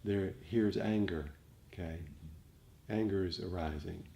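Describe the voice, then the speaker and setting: A man with a fairly low, mellow voice teaches meditation informally to a group. He is reflective and halting, circling back to rephrase his points. There is some noise in the recording. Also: vocal fry. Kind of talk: informal dharma talk to a group. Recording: noticeable background noise.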